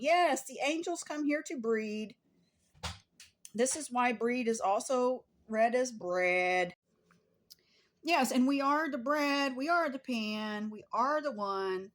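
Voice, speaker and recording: Woman doing a casual spiritual vlog, kinda high voice, earnest, says stuff like it's plain fact. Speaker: spiritual vlogger. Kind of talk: casual spoken monologue to viewers.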